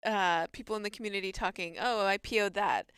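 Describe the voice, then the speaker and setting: Smooth-voiced woman, casual livestream co-host. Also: medium pitch, fairly even intonation, fairly quick, a bit bright, no room sound, studio quality. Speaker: livestream co-host reading chat.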